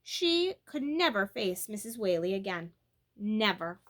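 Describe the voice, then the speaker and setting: A woman reading a children's book aloud in an expressive, animated and emphatic way. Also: mid-range voice, unhurried. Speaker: storybook reader.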